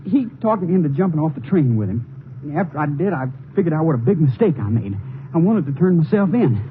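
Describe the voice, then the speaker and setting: High-voiced anxious young soldier. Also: male voice, big pitch swings. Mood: anguished confession. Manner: halting, stammering, nervous. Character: anxious, guilt-ridden young runaway soldier. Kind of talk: 1950s radio drama acting. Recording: noisy, narrow old radio recording.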